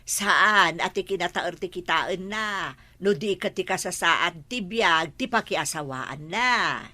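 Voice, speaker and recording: A woman's voice: lowish voice, lively intonation, takes it slowly, bright, forceful tone, dry recording, exaggerated voice. A woman playing a village mother who lectures her son with advice. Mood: advising.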